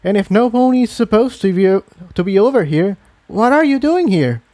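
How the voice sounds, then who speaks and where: A man's voice: sits high, animated, moderate pace, a little mellow, no echo, pitched above the performer's own voice. A man voicing a flustered, defensive young female pegasus pony in a fantasy story reading. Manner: sputtering, defensive. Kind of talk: fan fiction story reading.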